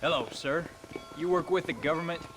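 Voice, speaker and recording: An actor playing a polite, determined young American cowboy in a game, speaking plainly. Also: male voice, fairly high pitch, brisk, loud music behind the voice, natural voice. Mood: polite, purposeful. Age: young adult. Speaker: video game character. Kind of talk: game cutscene dialogue.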